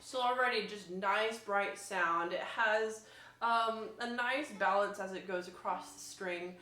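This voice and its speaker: A woman's voice: medium pitch, a little slow, sharp tone. A woman giving a casual review as a demonstrating musician, informal and thinking aloud as she goes. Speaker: violinist demonstrating instruments. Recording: echoey room.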